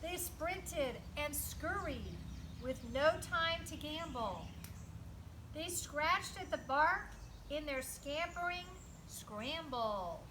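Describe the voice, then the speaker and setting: A woman reading a picture book aloud to children in an animated, teacherly way, with emphatic delivery and explanatory asides. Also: high voice, slow, background noise. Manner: animated, emphatic, teacherly, asks listeners questions.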